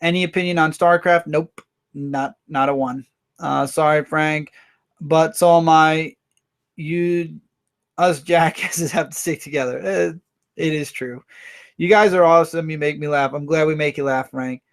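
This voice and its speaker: A man hosting a casual livestream, rambling through viewer comments with quick asides. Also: fairly high pitch, fairly even intonation, clear, resonant tone. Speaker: livestream host.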